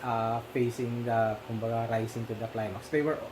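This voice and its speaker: A man's voice: mid-range voice, little pitch movement, normal speed, background noise. A man with a Filipino accent chatting casually about a film, hesitant, full of fillers and restarts. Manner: hesitant, many fillers, mixes languages. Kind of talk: casual film discussion chat.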